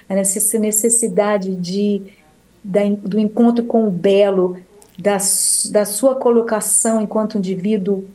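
A Brazilian woman, a singer who leads choirs, talking in a relaxed, rambling way in an interview, with many restarts and tag questions. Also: medium pitch, rough recording. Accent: Brazilian Portuguese. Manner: warm, rambling, with restarts and frequent "né?". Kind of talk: casual interview talk.

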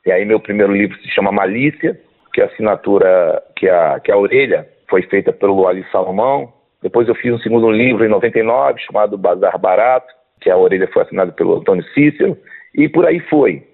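Man with a gravelly voice on the phone. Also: fairly low pitch, brisk. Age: 50s. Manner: hesitant, restarts often, ends lines with "né?". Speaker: songwriter and author guest. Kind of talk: casual interview answers. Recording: tinny phone line.

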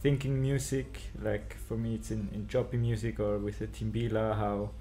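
A European man, a musician, speaking in a measured, thoughtful way in an interview. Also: on the low side, little pitch movement, dark tone. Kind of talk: casual interview.

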